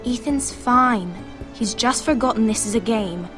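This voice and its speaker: A woman's voice: on the high side, a little slow, music in the background, natural voice. An actress playing a protective, composed noble girl in a fantasy game, speaking in a proper, reassuring way. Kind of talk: dramatic fantasy game acting.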